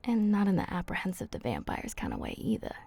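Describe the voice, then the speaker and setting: A woman voicing a flirty, teasing female vampire in an ASMR roleplay, soft and husky, speaking intimately and haltingly. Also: on the low side, wildly swooping pitch, no room sound, heavily acted.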